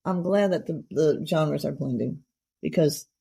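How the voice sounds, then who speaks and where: A woman's voice: low-pitched, a little slow, creaky, warm tone, husky. A middle-aged woman hosting a casual interview, chatty and effusive, rambling from one thought to the next. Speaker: podcast host.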